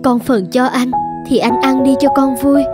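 High-voiced loving cartoon mother woman acting. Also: fairly even intonation, normal speed, lightly acted. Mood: tender. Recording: loud music under the voice.

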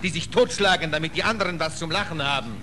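A man's voice: on the high side, fast talker, lightly acted. Comradely stoker male actor, soothing, colloquial, noisy vintage radio drama. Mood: reassuring. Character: steady, comradely rough-spoken stoker calming a mate. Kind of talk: 1940s German radio drama acting. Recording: noisy 1940s radio play recording.

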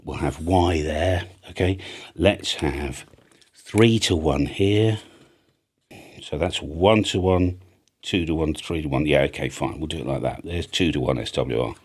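An older British man with a low-pitched voice presents a casual hobby tutorial. He is chatty and plain-spoken, working things out aloud as he explains. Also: slow, husky. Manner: chatty, blunt, thinks aloud. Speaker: radio hobby video presenter. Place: home music studio room with drum kit. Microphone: large studio microphone on an arm, close by.

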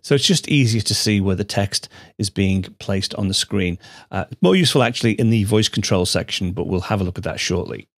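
A man hosting a computer tutorial, explaining steps in a measured, steady way on a studio microphone. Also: on the low side, dry recording. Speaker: tutorial host. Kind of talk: screencast software tutorial narration.

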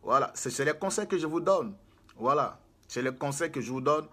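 Emphatic preacher. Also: male voice, fairly high pitch, lively intonation, moderate pace, sharp tone. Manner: emphatic, repetitive, gesturing with hands. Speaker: prophet and spiritual guide. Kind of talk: preaching to camera. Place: small indoor room.

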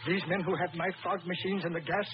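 An actor playing a solemn, remorseful scientist giving dire warnings deliberately in a 1930s radio drama. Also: male voice, high-pitched, fairly even intonation, lightly acted. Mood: ominous. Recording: noisy, muffled 1930s radio recording.

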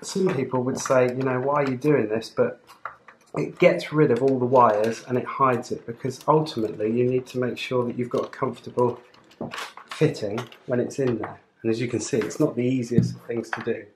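A man's voice: mid-range voice, steady tone, moderate pace. A man giving a chatty, informal step-by-step tutorial while working with his hands. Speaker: presenter of a motorcycle gear channel. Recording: roomy indoor sound, clear background noise, clicks.

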